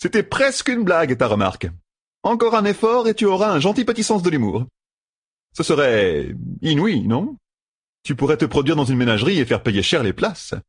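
Man voicing a cocky young rogue, lively and high. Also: normal speed, husky, no echo, clean studio sound. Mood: gleeful teasing. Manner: glib, exclamatory, mocking banter. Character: cocky, grinning young rogue gambler, teasing and brash. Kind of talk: audiobook fantasy character dialogue.

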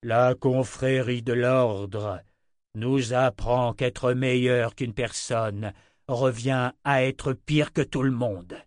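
A man's voice: mid-range voice, fairly even intonation, slow, no room sound, clean studio sound, natural voice. A man reading an audiobook, voicing a cold, contemptuous emperor who lectures in a condescending tone.

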